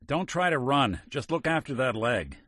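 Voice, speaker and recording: A man's voice: mid-range voice, sing-song, moderate pace, a bit bright, no room sound. An actor voicing a world-weary male robot detective in a game, talking in dry, hard-boiled wisecracks. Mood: steady. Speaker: game companion character. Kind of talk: video game voice acting.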